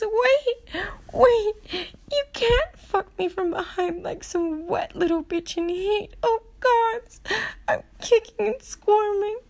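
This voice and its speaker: Woman playing adult demigoddess, very high voice, very slow delivery, proud, distressed, halting, breathy exclamations, audio drama. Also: heavily acted. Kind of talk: first-person adult audio roleplay.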